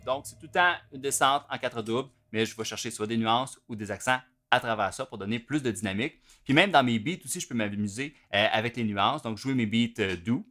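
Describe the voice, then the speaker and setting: A man with a Quebec French accent teaching drums in a relaxed, explanatory way, with plenty of fillers. His voice sits at an ordinary middle pitch. He talks through the material informally and stops between phrases to play. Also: a little fast, hardly any pauses, over music. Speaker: drum teacher. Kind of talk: instructional video lesson.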